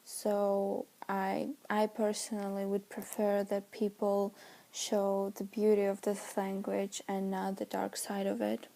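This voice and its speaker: A woman with a Romanian accent, a native Romanian speaker, giving a halting class presentation as a student and often searching for words. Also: mid-range voice, completely flat delivery, gravelly, mellow.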